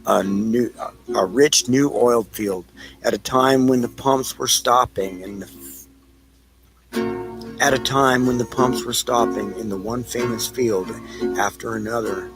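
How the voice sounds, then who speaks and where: Slow, low-voiced male narrator over guitar music. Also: rough recording. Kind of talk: scripted audio play narration.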